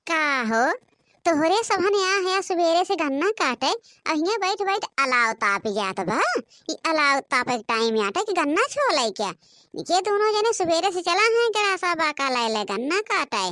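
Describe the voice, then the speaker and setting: A woman's voice: extremely high pitch, lively intonation, unhurried, fairly bright tone, no echo, studio quality, full character voice. A woman voicing a chiding village sister-in-law in a rustic cartoon comedy, with an Awadhi accent and colloquial delivery. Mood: scolding.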